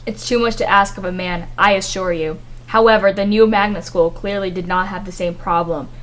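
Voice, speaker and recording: A woman's voice: mid-range voice, steady tone, a little fast, natural voice, rough recording. A woman playing a smug, scheming male investor in a radio drama, speaking in a dry, self-assured, formal manner. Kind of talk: 1950s radio drama acting.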